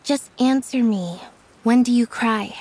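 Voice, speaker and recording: Composed, reserved young game heroine. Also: female voice, fairly high pitch, mellow, slight background noise, low-quality recording. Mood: serious, explanatory. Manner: measured, matter-of-fact. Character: composed young female magician's assistant, reserved and thoughtful. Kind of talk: video game voice acting.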